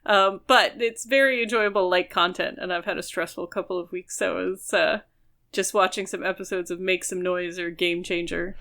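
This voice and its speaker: Bright-voiced woman chatting casually on a podcast. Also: fairly low pitch, steady tone, moderate pace, gravelly. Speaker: podcast co-host.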